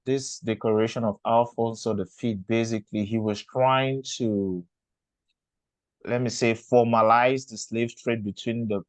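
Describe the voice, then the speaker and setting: Man, interview host, mid-pitched voice, dry close sound, hesitant questions. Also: steady tone, measured pace, no echo. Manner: thinking aloud, restarts, affirming.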